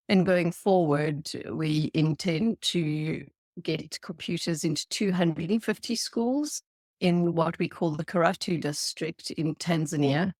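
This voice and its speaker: Low-voiced South African woman. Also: darkish tone, dry recording, studio quality. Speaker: guest. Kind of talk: podcast interview.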